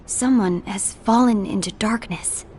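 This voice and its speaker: A woman's voice: medium pitch, a little slow, darkish tone, a little hiss or hum, close to an everyday voice. An actress playing a composed, diplomatic woman of a masked order, polite and articulate, in a video game cutscene. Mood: concerned.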